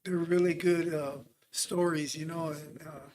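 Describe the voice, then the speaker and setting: High-voiced man from California, halting. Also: fairly even intonation, darkish tone. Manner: halting, full of "you know" and "man". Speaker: podcast guest. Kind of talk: casual podcast chat.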